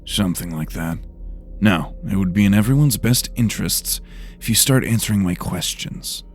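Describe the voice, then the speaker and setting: Guy doing a blunt demanding detective in a fantasy audio story, real low gravelly voice. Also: lively intonation, moderate pace, faint music behind. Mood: stern. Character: brusque, agitated male investigator of magical murders.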